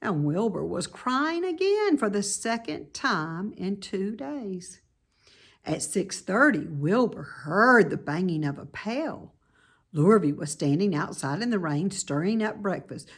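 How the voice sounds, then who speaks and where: A woman reading a children's story aloud as narrator, with deliberate, dramatic emphasis. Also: medium pitch, big pitch swings, slightly rough. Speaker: storybook reader.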